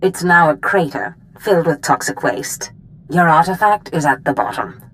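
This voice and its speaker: A woman playing a commanding, scheming Sith master in a video game cutscene, speaking with deliberate authority. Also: low voice, lively intonation, a little hiss or hum, low-quality recording. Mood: pleased, wry. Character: commanding, scheming female Sith master briefing her apprentice.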